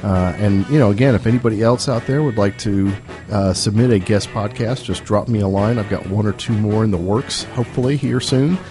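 A man's voice: on the low side, fairly quick. A man hosting a podcast, casually signing off while losing his voice to a cold, rambling with many fillers. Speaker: podcast host. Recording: music playing underneath.

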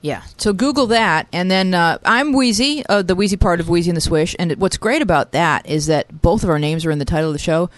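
A middle-aged woman co-hosting a podcast in a studio, talking in a quick, chatty and playful way. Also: fairly low pitch, dry recording. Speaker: podcast co-host. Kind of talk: casual chat. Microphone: studio microphone.

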